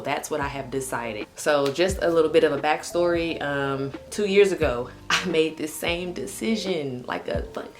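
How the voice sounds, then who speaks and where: A woman in her late twenties talking candidly to camera in a casual vlog, full of "like" and "um". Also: deep voice. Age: 20s. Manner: candid and chatty, heavy use of "like" and "um". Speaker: vlogger. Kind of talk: casual personal talk to camera.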